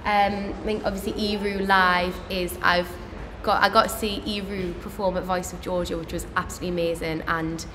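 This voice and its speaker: A woman's voice: fairly low pitch, brisk, hardly any pauses. A young woman, co-hosting and chatting casually, thinking aloud with lots of hedges. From Gateshead, northeast England. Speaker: livestream co-host. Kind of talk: casual panel chat. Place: indoor shop space with flower backdrop. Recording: reverberant room with steady noise.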